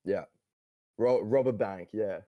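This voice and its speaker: A man's voice: lowish voice, slow pace, no room sound, phone-quality sound. A man co-hosting a casual comedy podcast, talking in a slangy, joking way. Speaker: podcast co-host.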